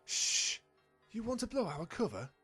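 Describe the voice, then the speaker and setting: A man's voice: sits high, huge pitch swings, a little slow, sharp tone, faint music behind, exaggerated voice. A man voicing a bossy, scheming pony reporter who loves a scoop, in an amateur audio drama. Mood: hushed scolding. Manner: scheming, scolding.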